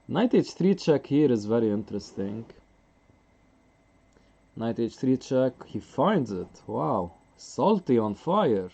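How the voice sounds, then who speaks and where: A man's voice: mid-range voice, big pitch swings, slow, vocal fry, pauses often, mellow, breathy edge, highs cut off. A man streaming live chess commentary in a casual way, chuckling often and answering his chat.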